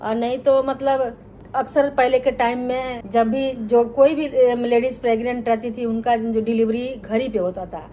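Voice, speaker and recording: A woman's voice: a little high, steady tone, moderate pace, dark tone, slight background noise, rough recording. A middle-aged woman from Bihar, telling her life story to viewers in a chatty vlog and often calling them friends. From Bihar, India. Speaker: cooking channel host.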